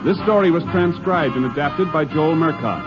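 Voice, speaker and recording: Higher-pitched American 1950s radio announcer over loud music. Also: male voice, expressive, moderate pace. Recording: dull vintage 1950s radio recording. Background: loud theme music.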